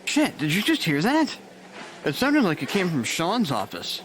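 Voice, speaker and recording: A man's voice: high-pitched, huge pitch swings, measured pace, a bit bright, a little hiss or hum, exaggerated voice. A man playing a laid-back, wry male nurse.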